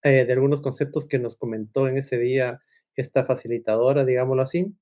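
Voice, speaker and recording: A man's voice: mid-range voice, fairly even intonation, a little fast, warm tone. A middle-aged man, talking casually and discursively as a podcast guest, often checking in with little tag questions. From Ecuador. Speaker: podcast guest, agile consultant and coach. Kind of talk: casual conversational storytelling.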